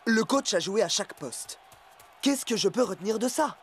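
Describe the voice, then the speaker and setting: A man's voice: sits high, animated, moderate pace, fairly bright tone, faint music behind. A man playing an eager, earnest young football player in a cartoon dub. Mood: pondering, eager.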